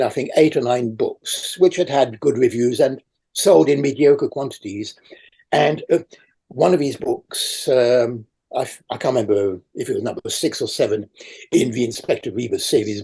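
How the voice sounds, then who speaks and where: A man's voice: medium pitch, creaky, mellow, slightly rough. An older man, a native French speaker, answering interview questions over a video call in a rambling way with many fillers. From raised in France. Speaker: awards chair interviewee. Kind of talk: conversational interview.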